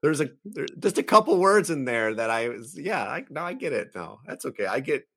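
A man's voice: mid-range voice, a little fast, creaky, husky. Man with an Upper Peninsula accent. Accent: Upper Peninsula Michigan. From Michigan's Upper Peninsula. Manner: relaxed, friendly asides, repeats himself. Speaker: podcast host. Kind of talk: podcast interview.

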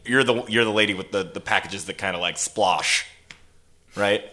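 A man playing a dazed, lovestruck delivery driver in improvised radio comedy, halting and rambling as he goes. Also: lowish voice, pushed and bright. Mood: chatty, awkward.

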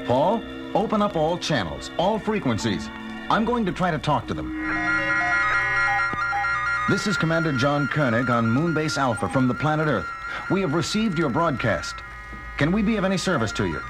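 An actor playing a decisive, wary moonbase commander in an audio drama, with a brisk, authoritative manner. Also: male voice, fairly high pitch, very animated. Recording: loud music and effects behind.

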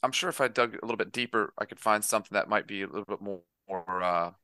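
A man shares casual, profane anecdotes on a podcast. His voice is low and sultry, with a bright, forceful edge, captured dry and close. He is wry and a little hesitant. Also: fast talker, no room sound. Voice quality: deep, sultry. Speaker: podcast co-host.

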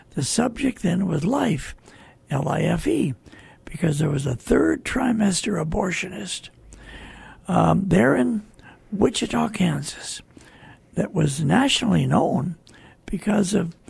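A talk show host with a very raspy, gravelly voice, speaking off the cuff in a halting, deliberate, earnest way. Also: mid-range voice, a little mellow, dry recording. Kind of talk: unscripted talk show commentary.

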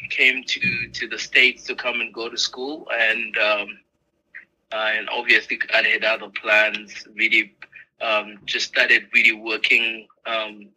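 A pastor from Zimbabwe, calling in remotely as a guest, speaking in a halting way with many fillers. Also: male voice, on the low side, little pitch movement, pushed and bright, breathy edge, poor audio. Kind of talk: casual interview conversation. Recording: remote call-in audio.

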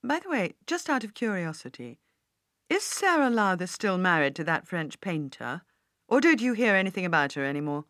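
A woman's voice: fairly low pitch, big pitch swings, normal speed, a bit bright, no room sound, clean studio sound. A woman reading an audiobook as an easygoing, curious businessman with a persuasive, conversational manner. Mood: curious, casual. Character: easygoing, inquisitive businessman son, casual and persuasive. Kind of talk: audiobook character dialogue.

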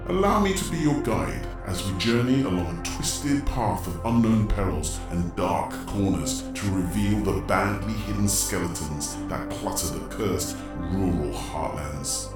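Ominous shadow-dwelling storyteller for horror audio drama; deep, grandly theatrical, heavy echo. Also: male voice, expressive, a little slow, few pauses. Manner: ominous, florid, dramatic delivery. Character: sinister, theatrical horror host, an otherworldly male observer lurking in shadows. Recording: cavernous echo, distant, loud music underneath. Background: loud music.

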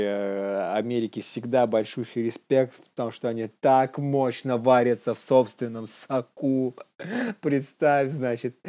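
A man's voice: medium pitch, mellow. A young man co-hosting a casual news chat in an informal, slangy manner, often chuckling as he talks. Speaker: podcast co-host.

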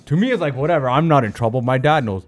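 A man's voice: mid-range voice, expressive, racing. A man with a Canadian accent telling personal stories in casual, slangy conversation as an interview guest. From Brampton, Canada. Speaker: comedian and chef, interview guest. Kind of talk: casual chat, personal storytelling.

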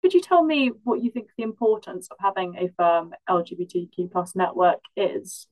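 A woman's voice: on the low side, moderate pace, darkish tone, dull audio. A female-sounding podcast host conducting an interview in a conversational way.

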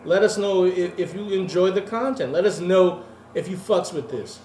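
A man's voice: high voice, moderate pace, a little mellow, roomy sound, recorded from a distance, slight background noise, poor audio. A man co-hosting a podcast in casual chat, teasing and provocative, rattling off lists of names. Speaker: podcast co-host.

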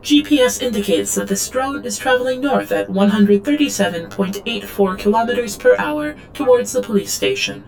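A woman's voice: medium pitch, lively intonation, moderate pace, vocal fry, few pauses, a little hiss or hum, rough recording. An actress playing an obedient female android drone in an audio drama, reciting logs in a clipped, literal, detached way. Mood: detached, analytical. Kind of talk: audio drama log narration.

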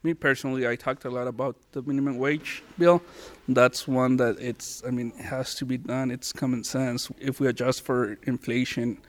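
A man from Mexico who talks in an even, monotone voice. He speaks firmly and matter-of-factly as a community organizer. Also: mid-range voice, normal speed.

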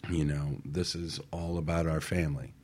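A man's voice: very deep voice, level tone, normal speed, darkish tone. A man in a casual radio discussion, explaining ideas step by step and often checking in with the listener.

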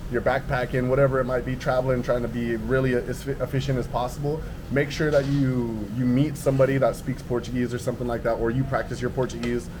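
Man, casual podcast chat, slangy, middling pitch, steady background hum. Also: quick pace, few pauses. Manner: laid-back, slangy, repeats "like" often. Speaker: podcast guest. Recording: noticeable background ambience noise.

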